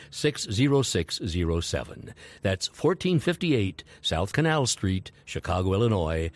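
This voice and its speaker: A man with an American accent reading a scripted announcement, enunciating clearly and deliberately. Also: lowish voice, darkish tone, dry recording. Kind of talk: scripted contact-information announcement.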